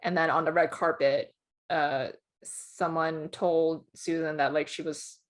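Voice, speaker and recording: A woman's voice: sits low, steady tone, unhurried. A woman co-hosting a casual entertainment discussion, talking informally with lots of conversational "like". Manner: informal, peppers speech with "like". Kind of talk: casual film awards chat.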